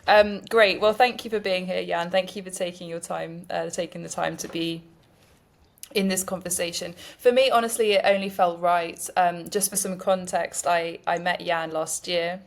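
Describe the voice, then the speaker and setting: Woman doing a chatty podcast interview, lowish voice, pretty flat melody, informal and gracious. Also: normal speed. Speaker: podcast host and poet.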